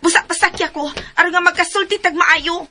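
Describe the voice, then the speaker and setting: A woman's voice: very high-pitched, steady tone, brisk, pushed and bright. A woman playing a stubborn, insistent woman in a Filipino radio drama, pushy and emphatic, with a Visayan accent. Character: stubborn, insistent woman demanding to come along.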